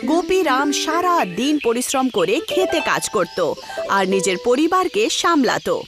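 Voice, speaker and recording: High-pitched woman narrating, music underneath. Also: sing-song, moderate pace. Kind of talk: scripted cartoon story narration.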